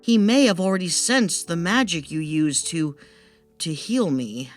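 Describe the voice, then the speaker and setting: A woman's voice: on the low side, unhurried, faint music behind, natural voice. A woman voicing a timid female bat in a storybook tale, a lonely and cautious servant who speaks hesitantly. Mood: anxious warning. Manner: hesitant, anxious. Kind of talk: storybook character voice.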